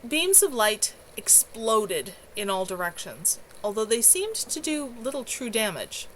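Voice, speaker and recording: A woman's voice: a little high, very animated, measured pace, fairly bright tone, rough recording. A woman narrating fantasy fiction as an amateur author reading her own story aloud. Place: at home. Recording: clear background noise, home livestream.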